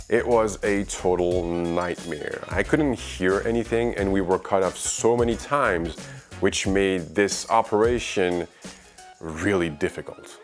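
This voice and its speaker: Low-pitched Frenchman narrating a review vlog. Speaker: video creator and reviewer. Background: background music.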